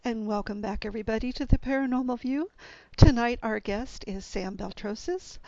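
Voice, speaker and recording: Gravelly woman hosting a radio show. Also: on the high side, moderate pace, darkish tone, no echo, dull audio. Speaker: host. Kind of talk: casual radio interview.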